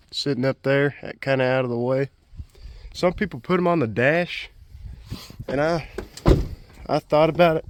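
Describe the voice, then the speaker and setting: A man's voice: medium pitch, a little hiss or hum. A man chatting casually and unscripted to the camera as a DIY vlogger, in a rambling, folksy, colloquial way. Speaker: vlogger, DIY truck project host.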